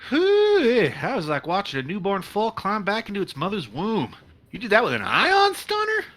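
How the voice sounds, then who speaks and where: A man playing a jittery, sarcastic, easily awed spaceship officer in a comedic sci-fi play, with animated, wry delivery. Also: high-pitched, normal speed, exaggerated voice.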